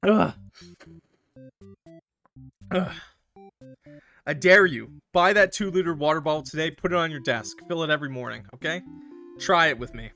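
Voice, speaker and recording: A man chatting and commentating on a livestreamed game in an excitable, playfully combative way, bantering with viewers and swearing freely. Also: fairly high pitch, moderate pace, pauses often, faint music behind. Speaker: live streamer playing a game. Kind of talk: animated casual livestream chat and game commentary.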